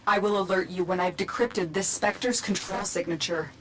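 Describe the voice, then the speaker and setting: A woman playing a calm, commanding mission guide in a sci-fi game, measured and serious, with music behind her. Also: fairly low pitch, background noise, natural voice. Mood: composed, urgent. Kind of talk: scripted game mission briefing.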